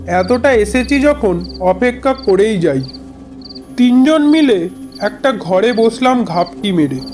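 A man playing a grandfatherly retired forest officer who tells his ghost adventure in a measured, conversational way for a horror cartoon. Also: high voice. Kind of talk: dramatised horror storytelling. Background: background music.

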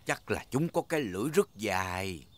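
A man's voice: on the low side, very animated, fairly quick. A man playing a jolly, doting elderly grandfather pig in a dubbed children's cartoon, with a hearty, playful manner. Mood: gently explaining.

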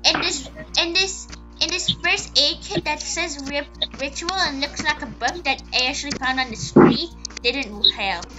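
High voice girl doing goofy roleplay chat, kinda muffled, music loud behind. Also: unhurried, few pauses. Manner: playful, rambling. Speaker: roleplay player voicing a grandma. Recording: muffled audio under game music.

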